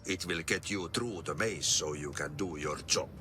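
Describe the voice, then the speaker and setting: An actor playing an eccentric older Finnish janitor in a video game, with a Finnish accent and a drawling, folksy, cryptic manner. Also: male voice, sits low, moderate pace, over music, close to an everyday voice. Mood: playful, cryptic. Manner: drawling, odd folksy sayings, mixes in Finnish. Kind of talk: theatrical video game character acting.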